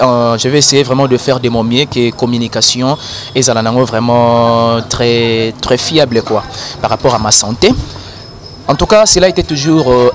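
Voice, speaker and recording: A man's voice: medium pitch, slight background noise. A man presenting culture news while sick with flu and a cough, formal with frequent hesitations. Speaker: web TV presenter.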